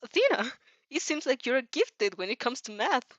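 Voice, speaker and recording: A woman's voice: sits high, sing-song, normal speed, a bit bright, dry recording, voice pushed up, exaggerated voice. A woman voicing a mild, encouraging male schoolteacher in a fan fiction reading, speaking politely and a little hesitantly. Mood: astonished, pleased. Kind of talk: fan fiction read aloud.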